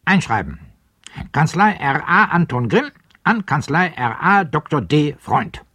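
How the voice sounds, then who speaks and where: A man's voice: on the high side, big pitch swings, unhurried, a bit bright, husky, dry recording, full character voice. A man narrating a radio play, announcing each letter in a dry, formal manner.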